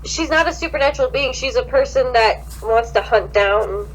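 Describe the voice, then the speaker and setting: A woman's voice: on the high side, moderate pace, a little hiss or hum, poor audio. A young woman co-hosting a podcast, mixing dramatic reading with sweary, sarcastic casual commentary. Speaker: podcast co-host.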